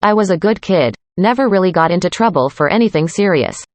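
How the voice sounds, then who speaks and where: Woman, lower pitch, student part, scripted lesson, calm, dry. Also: brisk, no echo. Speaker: student in a dialogue. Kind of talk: scripted conversation reading.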